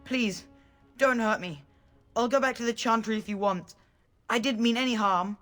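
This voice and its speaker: A woman voicing a timid, earnest little village boy in a video game, halting and pleading. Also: a little high, a bit bright, faint music behind, close to an everyday voice. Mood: frightened, pleading.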